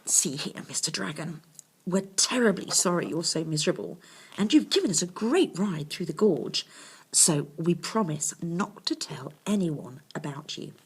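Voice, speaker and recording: A woman's voice: on the low side, lively intonation, normal speed, breathy edge, full character voice. A woman voicing a plucky young boy in a storybook, speaking earnestly and with good manners. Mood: kindly, conciliatory. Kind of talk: storybook character reading.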